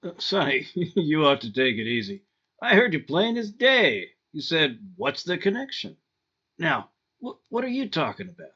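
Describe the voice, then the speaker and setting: An actor playing a breezy, nosy newsroom colleague in an old-time radio drama, colloquial and wisecracking. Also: male voice, a little high, big pitch swings, moderate pace, bright, forceful tone, breathy edge, full character voice. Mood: puzzled, teasing. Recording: dull, lo-fi audio.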